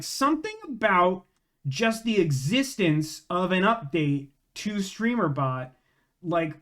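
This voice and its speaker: High-voiced man chatting on a livestream. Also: fairly bright tone. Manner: rambling, thinking aloud. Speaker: livestream host, gamer. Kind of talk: casual livestream chat to viewers.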